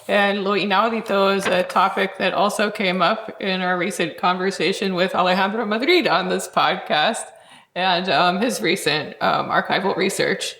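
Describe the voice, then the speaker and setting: A woman interviews as a podcast host in a medium-pitched voice with plenty of vocal fry. Her questions are measured and articulate, scholarly yet conversational. The recording has a clear room echo. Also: a bit bright. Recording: echoey, roomy sound.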